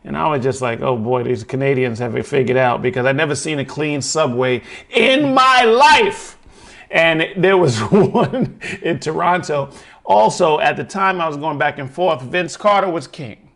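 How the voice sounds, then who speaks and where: Talk host from New York, effusive and slangy, bright voice with a gravelly edge, roomy echo. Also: male voice, a little high, animated, moderate pace. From New York City. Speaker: podcast host. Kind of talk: casual interview chat.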